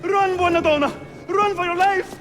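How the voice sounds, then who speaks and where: An actor playing a florid, cowardly, lecherous Italian suitor in a video game, theatrical and excitable, with an Italian accent. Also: male voice, extremely high pitch, normal speed, over music. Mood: panicked. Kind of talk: comic video game cutscene acting.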